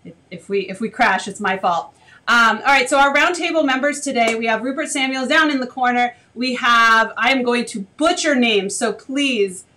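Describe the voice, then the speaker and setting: Bright, chatty woman hosting a video call. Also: a little high. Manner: chatty, self-deprecating, quick asides. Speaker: host. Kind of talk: live video-call roundtable. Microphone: video call webcam.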